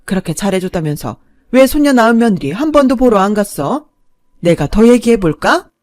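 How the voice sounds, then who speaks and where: Casting: woman playing a blunt, loud middle-aged woman telling someone off, dry recording. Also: mid-range voice, big pitch swings, a little fast, no echo, natural voice. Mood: scolding. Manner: sharp, scolding.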